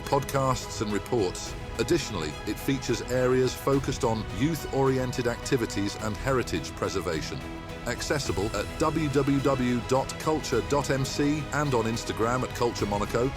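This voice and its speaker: A male news presenter reading a weekly summary in a measured, even delivery. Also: medium pitch. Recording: news read over clear music bed.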